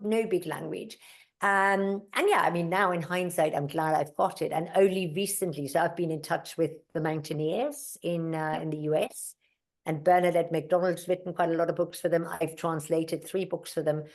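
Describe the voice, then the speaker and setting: A woman's voice: deep voice, a little mellow. A middle-aged woman whose first language is German, telling stories in a casual interview with many fillers and asides. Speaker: mountaineer and guest.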